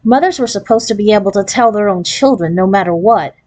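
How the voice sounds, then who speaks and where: A woman's voice: mid-range voice, brisk, darkish tone. Woman reading a children's novel aloud. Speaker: storybook reader. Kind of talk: expressive read-aloud narration of a children's novel.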